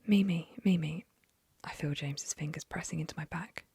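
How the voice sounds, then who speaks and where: A young woman whispering an ASMR story reading into a close mic, softly, with gentle fillers. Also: sits low, flat delivery, quick pace, husky. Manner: soft whisper, chatty with fillers after the story.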